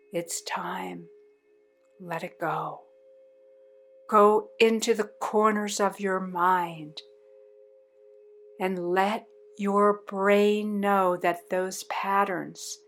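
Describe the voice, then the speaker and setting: Very slow-speaking woman guiding meditation. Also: medium pitch. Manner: soothing, deliberate phrasing with long pauses. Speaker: meditation guide. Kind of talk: guided meditation.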